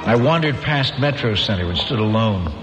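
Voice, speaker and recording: An actor narrating as a weary male survivor of an invasion, in measured, somber first person for a radio drama with music. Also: lowish voice, animated, lightly acted, low-quality recording. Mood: solemn. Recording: music bed under the voice.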